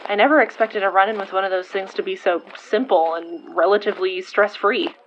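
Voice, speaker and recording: Casting: actress as wry, protective young female sidekick for audio fiction, informal and blunt, medium-pitched voice. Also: fairly even intonation, fairly quick, dull audio, slight background noise, close to an everyday voice. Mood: teasing, wry. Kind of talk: audio fiction dialogue acting.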